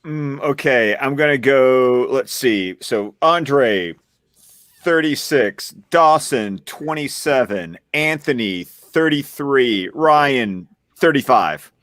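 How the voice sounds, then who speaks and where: A man guesting on a podcast, speaking in a measured, deliberate way over a video call. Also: medium pitch, animated, fairly bright tone, no room sound, studio quality. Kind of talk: casual chat.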